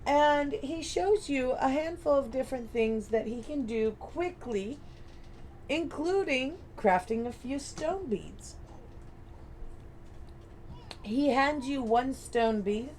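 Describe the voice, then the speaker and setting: A woman's voice: sits high, animated, slow, long pauses, a little mellow, a little hiss or hum, rough recording. Chatty woman game master. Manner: chatty, self-deprecating, rambling narration with fillers. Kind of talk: narrating a tabletop game.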